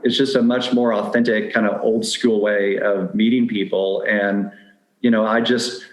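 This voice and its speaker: A man's voice: lowish voice, fairly even intonation, normal speed. A man in his forties, a podcast guest, giving dating advice in a conversational style. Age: 40s. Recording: echoey, roomy sound.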